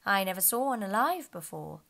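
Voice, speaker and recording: A woman's voice: medium pitch, very animated, fairly quick, gravelly, fairly bright tone. A well-mannered young girl from a storybook, read by a woman, earnest and curious. She speaks with polite sincerity.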